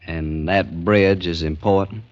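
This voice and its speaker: An actor playing a genteel, earnest Southern planter in a 1940s radio drama, speaking in a thoughtful, probing manner. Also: male voice, low-pitched, fairly even intonation, unhurried. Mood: courteous, probing. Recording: dull 1940s radio recording.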